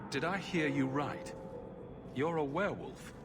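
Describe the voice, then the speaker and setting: An actor playing a confident human adventurer for hire in a fantasy video game, direct and questioning with a wry edge. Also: male voice, fairly high pitch, unhurried, music in the background, lightly acted. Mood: surprised, firm. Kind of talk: video game cutscene acting.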